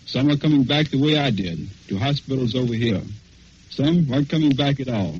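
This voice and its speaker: A man's voice: mid-range voice, animated, fairly quick, a little mellow, slightly rough, highs cut off. A young American man giving scripted first-person testimony as a wounded soldier on a 1940s radio broadcast. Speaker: wounded soldier recounting combat.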